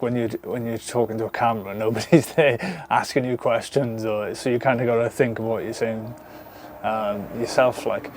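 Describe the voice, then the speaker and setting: A man's voice: mid-range voice, darkish tone. A Welsh man in his thirties from South Wales, talking casually to camera inside his camper van, rambling with many ums and restarts and swearing freely. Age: 30s. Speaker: vlogger. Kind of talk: casual unscripted talk to camera.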